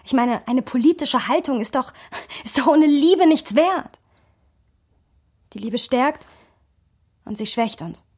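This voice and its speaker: Radio drama role: earnest, bookish young woman, slightly husky voice, intimate dry sound, reflective delivery. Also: sits high, lively intonation, slow, pauses often, a little mellow, no room sound, exaggerated voice. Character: Sophia, thoughtful passionate literary young woman. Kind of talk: radio drama narration and dialogue.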